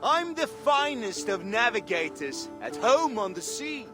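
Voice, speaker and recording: A man's voice: very high-pitched, very animated, a little slow, over music, heavily acted. A man playing a boastful, sneering Viking navigator in a video game, with a pompous, taunting delivery. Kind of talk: dramatic video game acting.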